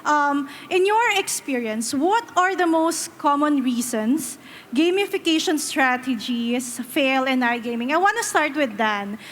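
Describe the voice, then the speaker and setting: A woman's voice: high-pitched, expressive, a little slow, fairly bright tone, slight background noise. A woman journalist moderating a conference panel on stage, upbeat and enthusiastic.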